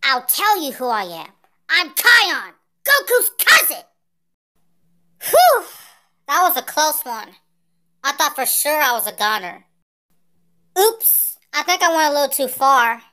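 A performer using a pitched-up voice changer to voice a brave, cocky little alien boy warrior in dramatic anime-style character clips. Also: very animated, normal speed, pushed and bright, heavily acted. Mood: proud, then relieved, sheepish. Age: child character.

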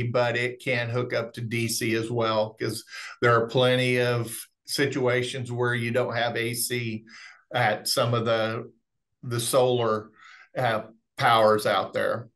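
A man's voice: medium pitch, level tone, measured pace, a bit bright. A middle-aged man presenting webinar technical training in a folksy, explanatory way. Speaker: remote monitoring specialist presenting product training. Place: indoors, on a video call.

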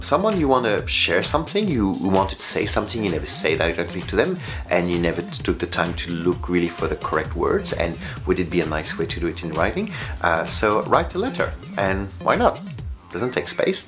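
A man in his forties who is not a native English speaker, giving casual, chatty travel tips to camera as a vlogger. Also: low voice. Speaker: travel vlogger and flight attendant. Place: bedroom, indoors. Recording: music behind the voice. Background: background music.

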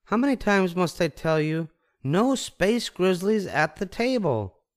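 A man voicing a gentle, motherly mama bear, patient but firm, in a storybook reading. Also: high-pitched, lively intonation, unhurried, pitched above the performer's own voice. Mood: scolding.

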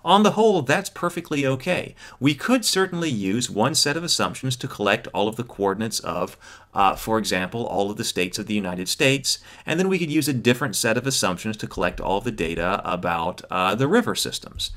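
Lower-voiced man teaching a lesson. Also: lively intonation, a little fast, a bit bright. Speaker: instructor. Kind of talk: explanatory lecture on GIS software.

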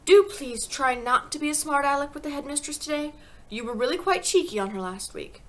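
A woman voicing a principled schoolteacher in an audiobook, speaking politely and earnestly with quiet firmness. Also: high voice, fairly quick, voice pushed up, natural voice. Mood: cautioning. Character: gentle, principled young schoolteacher, quietly firm. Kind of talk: audiobook character voice.

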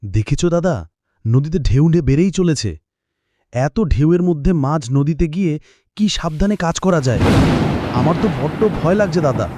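A man's voice: a little high, lively intonation, moderate pace, pauses often, mellow, no room sound. A man playing a poor, anxious village fisherman in a cartoon, earnest and dramatic. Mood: weary, fearful. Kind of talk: cartoon voice acting.

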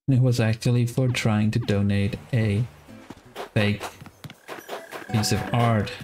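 Male game streamer, thirties, Danish accent, unhurried casual delivery, guitar music underneath. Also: mid-range voice. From Denmark. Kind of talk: casual gameplay commentary.

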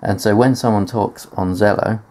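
A man's voice: on the low side, fairly even intonation, moderate pace, a little mellow. A man giving a casual tech demonstration in a matter-of-fact, explanatory way, presenting gear on video indoors. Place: indoors at a desk.

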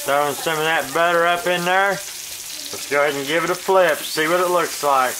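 A man's voice: a little high, normal speed, noisy background. A man in his thirties with a Southern American accent, casually walking viewers through cleaning and pan-frying a fish in his home kitchen, recorded on a phone. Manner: folksy, relaxed, talks to viewers as "guys". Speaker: cooking channel host. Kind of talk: casual cooking demonstration. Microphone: phone camera.

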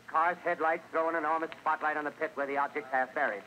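An actor playing an excited, halting American radio news reporter, a flustered eyewitness in 1930s radio drama style. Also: male voice, on the high side. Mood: intrigued, urgent. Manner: halting, breathless on-the-spot commentary. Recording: noisy 1930s radio broadcast off cassette.

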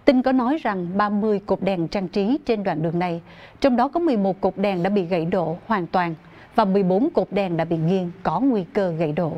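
A young woman presents the news in a formal, scripted style. Her pitch is middling for a woman. The studio recording sounds a little dull. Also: brisk. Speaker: news anchor.

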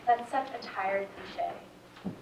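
A woman's voice: medium pitch, extremely slow, gravelly, darkish tone. A wounded daughter of an abusive home acted by a teenage girl reflects wryly in a stage monologue. The voice sounds distant, ringing in an echoey theatre with faint background noise. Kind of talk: high school stage monologue. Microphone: far camera.

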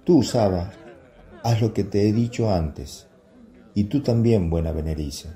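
A man's voice: on the low side, a little slow, mellow, natural voice. An actor playing a grave, fatherly apostle who leads his group in a radio drama, speaking solemnly. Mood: gently firm. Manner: solemn, paternal. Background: music.

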